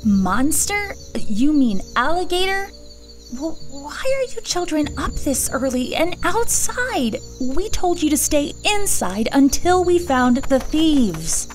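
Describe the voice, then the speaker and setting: Actress as a protective no-nonsense village woman, high voice, faint music, audio drama. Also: big pitch swings, slow pace, heavily acted. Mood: stern, concerned.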